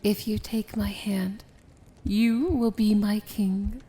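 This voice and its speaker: Woman playing a slow-spoken, regal evil princess. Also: mid-range voice, steady tone, mellow, close to an everyday voice. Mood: tender, earnest. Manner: measured, regal, deliberate, formal. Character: proud, dangerous evil princess, commanding yet tender. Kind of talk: ASMR fantasy romance roleplay, dramatic monologue.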